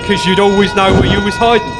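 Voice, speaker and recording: An actor playing a loyal, fatalistic, slangy convict mate in a live comedy radio drama. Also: male voice, high-pitched, fairly even intonation, quick pace, very noisy, lightly acted. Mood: resigned, tender. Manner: matey, slangy, colloquial.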